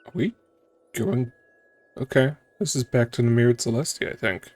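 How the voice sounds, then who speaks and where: A man's voice: medium pitch, moderate pace, a little mellow. A male streamer giving casual game commentary in musing, questioning asides. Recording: faint game music behind.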